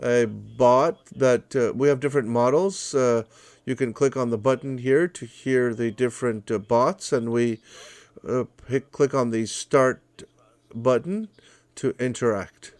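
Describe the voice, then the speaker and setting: A man teaching at a college, walking through a software demo step by step in an online presentation over a video call. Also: mid-range voice, a little slow, no echo. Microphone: video call microphone.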